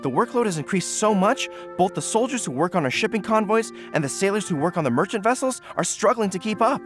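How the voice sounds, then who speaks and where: A man voicing an earnest, modest young army general in a video game, boyish and a little awkward and excitable. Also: sits high, fast talker, music in the background. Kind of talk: video game cutscene acting.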